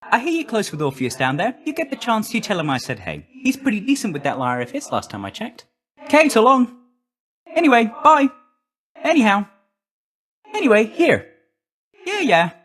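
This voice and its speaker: A man's voice: sits high, wildly swooping pitch, normal speed, pauses often, a bit bright, exaggerated voice. A man voicing a breezy, chummy young messenger god in a video game, with a slangy, glib, friendly manner. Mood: chatty, casual, then brisk, upbeat.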